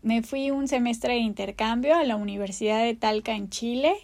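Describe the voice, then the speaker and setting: A woman's voice: a little high, normal speed, gravelly. A Mexican woman in her thirties, hosting her own podcast and openly introducing herself in an earnest manner. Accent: Mexican Spanish. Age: 30s. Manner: open, earnest, warm self-introduction. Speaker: podcast host. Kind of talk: personal podcast monologue.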